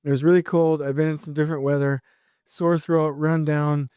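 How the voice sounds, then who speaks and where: Solo podcast host, a middle-aged man: eager, rambling, a bit hoarse from a sore throat, mellow smooth voice, dry close sound. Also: fairly high pitch, normal speed, no echo. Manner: energetic, rambling, many restarts.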